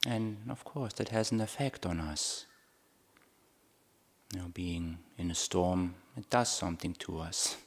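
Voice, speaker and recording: A man guiding a meditation as a Buddhist teacher, speaking in short, measured phrases with long pauses between them. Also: low voice. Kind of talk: guided meditation talk.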